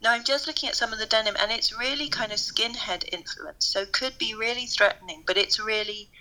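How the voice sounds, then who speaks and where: A soft-spoken, middle-aged woman, a fashion lecturer, chatting enthusiastically with a strained, tired voice. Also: a little high, fairly quick, rough recording. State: voice strained from teaching. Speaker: fashion lecturer and podcast co-host. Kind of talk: casual conversation.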